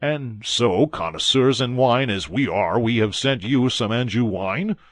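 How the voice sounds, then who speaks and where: A man's voice: medium pitch, animated, a little slow, no room sound, studio quality, voice pushed up, lightly acted. A man voicing a hearty, jovial musketeer who speaks in a bluff way.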